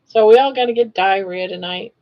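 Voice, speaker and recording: A woman's voice: mid-range voice, a little fast, lo-fi sound. A woman in her sixties hosting a casual live stream, chatting and joking and talking sweetly to her pets. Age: 60s. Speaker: livestream host.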